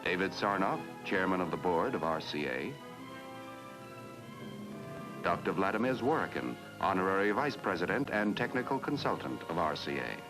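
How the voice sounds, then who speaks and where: A man's voice: low-pitched, sing-song, fairly quick, few pauses, rough recording. A man narrating a 1950s educational film in a grand, dramatic style. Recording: old film soundtrack with loud music.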